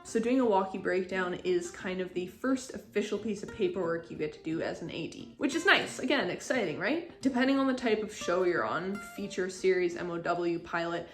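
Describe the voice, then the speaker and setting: A woman's voice: fairly low pitch, a little fast, few pauses. Young woman instructor, roomy sound. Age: young adult. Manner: conversational, explanatory, informal. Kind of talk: casual instructional video talk. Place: living room, indoors. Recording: roomy indoor echo, music behind.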